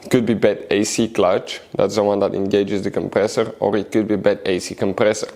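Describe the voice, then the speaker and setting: A man's voice: lowish voice, flat delivery, brisk, a little mellow. A man hosting a car repair tutorial, giving step-by-step how-to narration and often addressing viewers as guys. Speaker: car repair video host.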